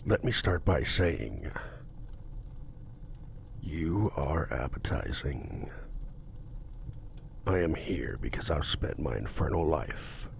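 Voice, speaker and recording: A man playing a courtly, possessive, seductive demon in an ASMR roleplay, speaking formally and commandingly in a gravelly, at times breathy voice. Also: extremely low pitch, sing-song, slight background noise, full character voice. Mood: condescending, seductive. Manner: slow, formal, theatrical, commanding.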